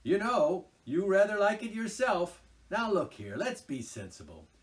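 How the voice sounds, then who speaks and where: A man voicing a boastful, excitable male toad who talks loudly and gushes. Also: high voice, a little slow, distant mic, pitched above the performer's own voice. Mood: boisterous. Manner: loud, gushing, exclamatory.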